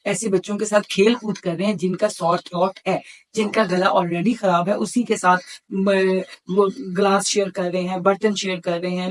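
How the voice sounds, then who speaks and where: A middle-aged woman talks like a doctor presenting to patients. Her pitch is average for a woman. She explains things instructively, laying out points one after another and restating them. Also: low-quality recording. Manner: instructive, listing points with repetition. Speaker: homeopathic doctor presenting health advice. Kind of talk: explanatory health talk to camera.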